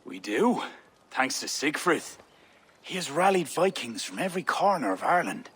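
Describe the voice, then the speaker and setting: A man playing a pious, commanding medieval Irish high king in a video game, solemn and declamatory. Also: sing-song, normal speed, a bit bright, breathy edge, exaggerated voice. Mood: welcoming authority. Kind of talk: video game cutscene acting.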